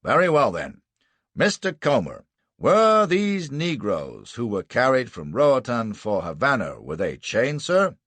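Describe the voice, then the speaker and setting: A man's voice: mid-range voice, wildly swooping pitch, no echo, voice pushed up, exaggerated voice. Man playing a hectoring prosecutor. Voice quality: hectoring, forceful, drawn-out questioning. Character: aggressive male prosecuting lawyer.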